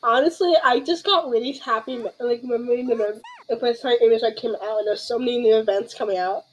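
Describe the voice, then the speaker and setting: A woman's voice: on the high side, quick pace, dull audio. A young adult woman in casual gameplay chat, rambling and reacting in scattered bursts. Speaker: gaming streamer.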